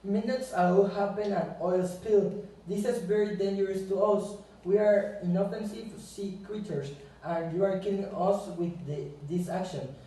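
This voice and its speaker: Echoey, high-pitched earnest sea creature played by a man. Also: fairly even intonation, dark tone, close to an everyday voice. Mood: earnest, determined. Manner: halting, non-native English phrasing. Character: earnest older sea creature, brave spokesman for the sea. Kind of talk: amateur puppet show acting. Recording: echoey room, distant microphone.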